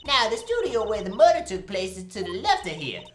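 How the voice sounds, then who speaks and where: A woman's voice: mid-range voice, big pitch swings, fairly quick, slight background noise, close to an everyday voice. A woman playing a brash, vain, gossipy older female security guard in a fan-dubbed game, talking in a bossy, folksy, theatrical way. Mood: gossipy, insistent. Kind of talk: cartoonish fan-dub game acting. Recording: roomy sound with faint music behind.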